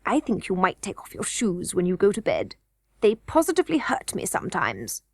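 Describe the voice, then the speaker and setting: A woman voicing a henpecked goblin king in a storybook reading, gruff and grumbling. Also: mid-range voice, wildly swooping pitch, normal speed, no room sound, studio quality.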